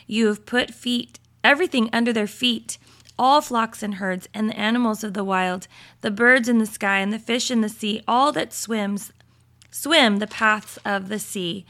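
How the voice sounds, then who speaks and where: A woman hosting a faith podcast, slightly raspy this morning, talking in a chatty, upbeat way with laughs and fillers. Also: medium pitch, fairly bright tone. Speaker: podcast host. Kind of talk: casual devotional podcast talk.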